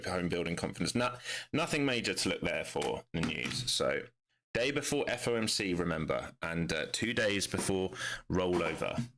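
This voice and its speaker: A man's voice: low voice, a bit bright. Congested man calling live trades. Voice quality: nasal, bunged up. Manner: brisk, thinking aloud, frequent "all right?" tags. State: congested with a cold. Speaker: day trader streaming live market analysis. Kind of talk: live market commentary.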